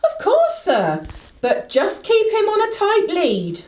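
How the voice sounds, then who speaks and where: Lady doing chatty respectful inn landlady, radio drama, really swoopy pitch, roomy echo. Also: wildly swooping pitch, slow pace, over loud music, exaggerated voice. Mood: obliging, chatty. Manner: folksy, emphatic, respectful. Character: chatty, deferential country inn landlady, full of warnings. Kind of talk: radio play acting. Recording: roomy, echoing radio play recording.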